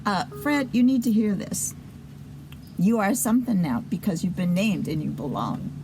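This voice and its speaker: A woman's voice: medium pitch, animated, moderate pace, a little mellow, slight background noise. A woman hosting a children's show and telling a story, with chatty asides to her listeners.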